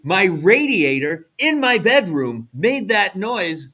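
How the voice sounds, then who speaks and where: A man's voice: sits high, sing-song, slow pace, sharp tone. An American man, middle-aged or older, co-hosting a radio show with casual, explanatory chat and frequent check-ins with the listener. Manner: conversational, checks in with "right?".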